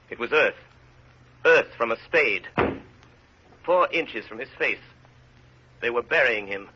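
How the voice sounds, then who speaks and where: An actor playing an earnest, composed man in an old radio drama, speaking gravely in short, emphatic phrases. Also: medium pitch. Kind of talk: golden age radio drama acting. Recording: muffled, noisy old radio broadcast.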